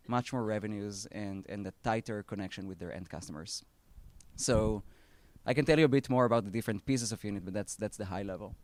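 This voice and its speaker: A man with an Israeli accent, a startup founder speaking at a live event, earnest and measured with pauses and restarts. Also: lowish voice, a little mellow, dry recording.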